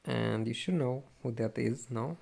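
A man giving casual, low-key gameplay commentary as he plays, in an unhurried way. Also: gravelly, a little mellow, breathy edge. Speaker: gaming video creator. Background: faint game music.

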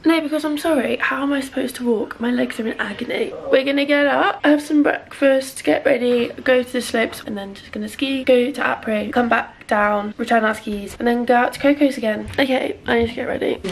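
A woman's voice: fairly high pitch, a little fast, creaky, hardly any pauses. Giggly young woman vlogging on a phone. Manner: bubbly, giggly, chatty. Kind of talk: casual vlog chat. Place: ski resort apartment and slopes. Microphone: phone at arm's length.

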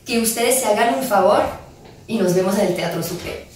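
A woman in her thirties from France with a French accent, an actress chatting in an interview with a measured, thoughtful delivery. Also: mid-range voice, darkish tone, slight background noise. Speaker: musical theatre actress. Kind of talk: casual interview chat. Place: office room with glass wall, seated on chairs. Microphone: far camera mic. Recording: very echoey room from far off.

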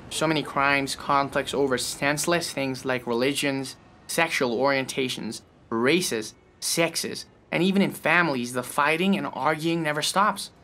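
A man's voice: a little high, pushed and bright, a little hiss or hum. A gloomy, anxious young man talks in a weary, fretful way, easily upset and full of complaints, as a man acts him out. His voice is plain and everyday.

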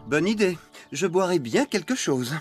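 A man's voice: sits high, sing-song, moderate pace, over music. A man playing a friendly, easygoing young farmhand in a children's cartoon, with a cheerful manner.

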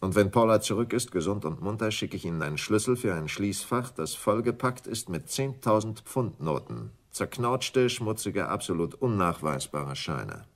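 An actor playing a smooth, scheming English stockbroker in a German radio crime drama, speaking in a deliberate, condescending way. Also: male voice, fairly low pitch, lively intonation. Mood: smug, businesslike.

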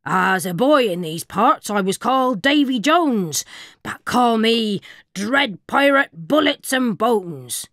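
A woman voicing a boastful, slyly innocent male pirate captain with a gravelly, theatrical voice. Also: on the high side, lively intonation, slow, fairly bright tone, dry recording, clean studio sound, exaggerated voice. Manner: blustering, theatrical.

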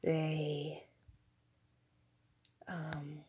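A young adult woman giving a whispered, halting ASMR monologue in a breathy voice, recorded indoors on a phone held at arm's length. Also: sits low, monotone, warm tone. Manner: slow, hesitant, halting. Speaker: ASMR video creator. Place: small indoor room with curtains.

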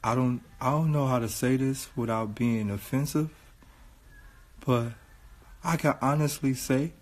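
A man in his thirties from with a hoarse voice, talking earnestly and repetitively into a phone held at arm's length in a small room. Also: medium pitch, measured pace. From Watts, California. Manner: earnest, repetitive, building to raised voice. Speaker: vlogger. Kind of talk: casual selfie monologue.

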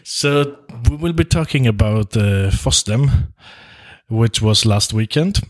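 A man's voice: on the low side, lively intonation, takes it slowly. A man with a German accent hosting a podcast, chatting casually and often restarting his words. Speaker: podcast host. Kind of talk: casual chat. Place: small office room indoors.

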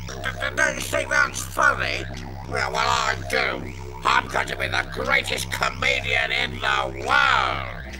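A man voicing a childish, boastful, excitable puppet creature from children's TV, stammering and whining through electronic modulation. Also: extremely high pitch, slow, over loud music, heavily acted. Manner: stammering, whiny, blustering.

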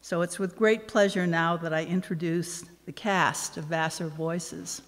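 A woman of around seventy giving a measured, formal welcome speech with dry humor from a podium microphone on stage. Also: on the low side, steady tone, heavy background noise. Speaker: event host, anniversary committee co-chair. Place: on stage in a large hall. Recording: reverberant concert hall.

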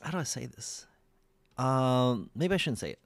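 A man's voice: mid-range voice, animated, normal speed, creaky, no room sound. A young Australian man streaming from a small room into a desk mic, reacting casually to music videos with a lot of laughing and repeated, rambling words.

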